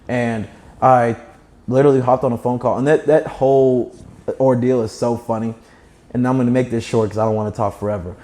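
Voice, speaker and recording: Mellow young man with a slight lisp. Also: medium pitch, fairly even intonation, a little fast. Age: young adult. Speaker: guest, startup founder. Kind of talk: animated conversational storytelling and advice.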